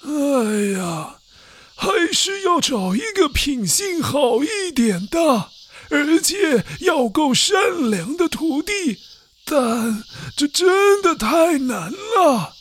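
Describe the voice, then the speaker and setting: A kind elderly baker frets and sighs in a high, quavering voice that swoops up and down, as a man puts him on. He speaks slowly, drawing out each worry. A faint hiss sits under the storybook recording. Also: very animated, no echo, full character voice. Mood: fretful. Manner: sighing, fretful, quavering. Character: kind old male village baker.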